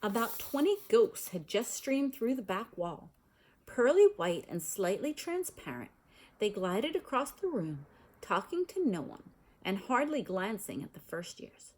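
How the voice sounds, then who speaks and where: A woman reading a children's novel aloud in an expressive storytelling style. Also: medium pitch, unhurried, low-quality recording. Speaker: storybook reader.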